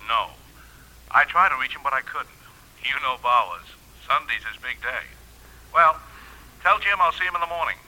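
A medium-pitched, very bright male voice, an affable friend of the family in a vintage radio play. His manner is brisk and mildly puzzled. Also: expressive, rough recording. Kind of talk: 1950s radio drama acting.

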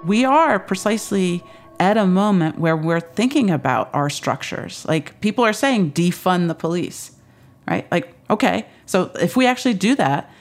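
A woman's voice: lowish voice, expressive, moderate pace, faint music behind. Conversational female Shakespeare scholar. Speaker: Shakespeare scholar, university teacher. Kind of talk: conversational interview commentary.

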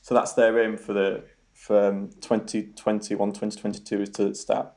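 Gravelly Yorkshire man, low voice. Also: monotone, normal speed, darkish tone. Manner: hesitant, many ums and repeated words. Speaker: robotics researcher guest. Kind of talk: casual interview answers.

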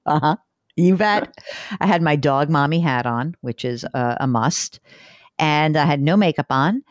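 A woman from New York hosting a podcast in casual chat, animated, wry and self-deprecating. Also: sits low, measured pace, no echo. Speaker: podcast host. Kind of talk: casual chat, audience address.

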